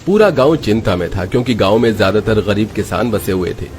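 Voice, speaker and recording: A man's voice: fairly low pitch, animated, fairly quick, a little mellow. A man giving dramatic scripted narration of a story.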